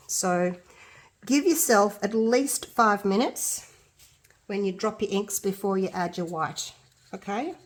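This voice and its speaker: Chatty Australian woman with a blocked nose. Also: mid-range voice. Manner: chatty, self-deprecating, thinking aloud while working. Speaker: resin art tutor. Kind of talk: casual craft tutorial.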